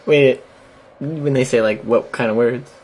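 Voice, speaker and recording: A man's voice: mid-range voice, a little slow, darkish tone, husky, low-quality recording. A man co-hosting a casual podcast, bantering and firing off questions. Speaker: podcast co-host. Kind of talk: casual chat.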